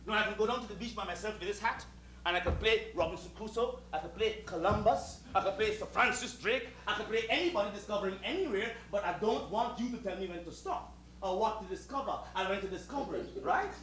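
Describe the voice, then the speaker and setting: Proud, defiant Caribbean servant, roomy stage. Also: male voice, high voice, fast, a bit bright. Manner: rhetorical, formal, emphatic speechmaking. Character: proud, meticulous West Indian servant man, defiant and eloquent. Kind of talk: theatrical two-hander stage acting. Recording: roomy distant stage recording with hiss.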